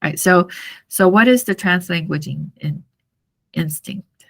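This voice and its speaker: Slow, creaky-voiced woman lecturer, native Mandarin speaker. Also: lowish voice, a bit bright. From Taiwan. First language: Mandarin Chinese. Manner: halting, repetitive, checks understanding often. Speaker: university lecturer. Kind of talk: classroom lecture, explanatory.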